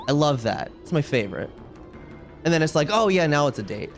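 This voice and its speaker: A man's voice: fairly high pitch, big pitch swings, brisk, soft background music. A man livestreaming a video game, chatting casually with viewers and commenting on play in a wry, ranting way.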